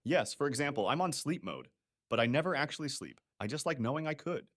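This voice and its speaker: A woman playing a cheeky, gossipy AI assistant in a comedy sketch, matter-of-fact and deadpan. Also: extremely low pitch, fairly quick, full character voice.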